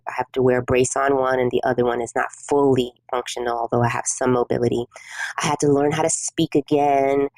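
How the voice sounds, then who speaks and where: A middle-aged woman from South Korea, a native Korean speaker, sharing her personal testimony as a guest in an interview, speaking softly with many pauses. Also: rumbling low, no echo, rough recording. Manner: soft-spoken and measured, many pauses and fillers. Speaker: guest minister.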